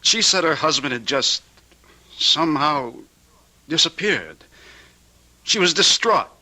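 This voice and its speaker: Casting: sophisticated young husband with a dark secret, radio drama. Forceful, piercing tone, earnest and deliberate. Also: male voice, fairly high pitch, expressive, full character voice, rough recording. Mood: confessing, bitter. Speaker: actor. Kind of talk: old-time radio drama acting.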